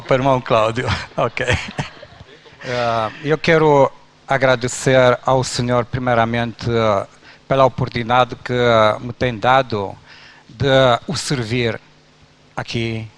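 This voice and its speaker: A man's voice: medium pitch, steady tone. A man from Romania, preaching in an earnest, rhythmic manner at a church induction service. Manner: slow, earnest, rhythmic listing. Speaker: pastor.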